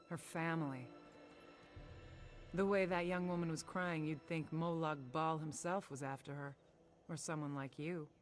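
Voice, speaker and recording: A woman's voice: low-pitched, lightly acted. A woman playing a tough, guarded caravan master in a fantasy video game, wry and matter-of-fact.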